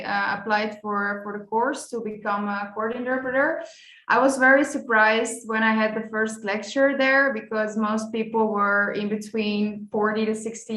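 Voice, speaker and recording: A woman's voice: fairly high pitch, a bit bright. Young woman in a roomy room. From Netherlands. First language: Dutch and Croatian. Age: 20s. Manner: chatty, many fillers and restarts. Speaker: guest, court interpreter. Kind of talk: casual interview conversation. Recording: roomy echo.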